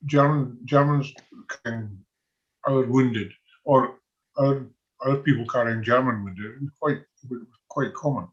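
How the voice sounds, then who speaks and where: An older Scottish man talks informally about history in a halting, conversational manner. His voice sits at a middling pitch, and the recording is dull and lo-fi. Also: fairly even intonation. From Scotland. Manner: halting, conversational, chuckles often. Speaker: military historian and former soldier. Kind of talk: informal talk on military history. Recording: dull, lo-fi audio.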